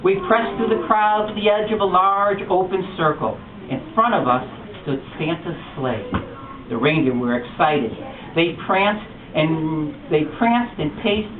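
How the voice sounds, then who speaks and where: A man reading a picture book aloud at a bookstore storytime, telling it with expressive animation. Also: sits high. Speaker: storytime reader. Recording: distant echoey lo-fi room recording with noise.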